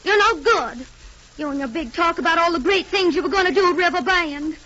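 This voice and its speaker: An actress playing a proud, wounded young Southern sweetheart, reproachful, in a 1940s radio drama. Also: female voice, very high-pitched, a little fast, bright, forceful tone. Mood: scornful. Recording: dull, noisy 1940s radio recording.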